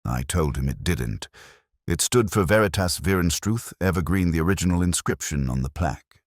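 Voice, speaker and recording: Very deep-voiced brooding male detective narrator. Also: animated, no echo, clean studio sound. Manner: brooding, literary, measured. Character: weary, reflective male police inspector recalling a case. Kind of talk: scripted first-person audio drama narration.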